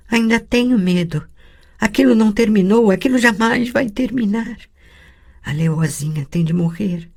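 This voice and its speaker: A man's voice: sits high, vocal fry, a little mellow, voice pushed up, full character voice. A man reading an audiobook and voicing a young girl in a trance. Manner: screaming in panic. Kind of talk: audiobook character voice.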